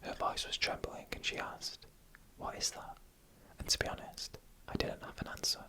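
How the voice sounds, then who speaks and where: A narrator reading a scripted story in a breathy ASMR whisper, in slow, deliberate phrases with short pauses. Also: bright, forceful tone.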